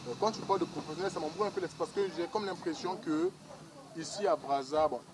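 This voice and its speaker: A man's voice: fairly high pitch, fast talker, background noise. A man with a Congolese accent, asking questions as an interviewer in a conversational style, recorded with people chattering behind him. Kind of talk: conversational interview with some Lingala mixed in.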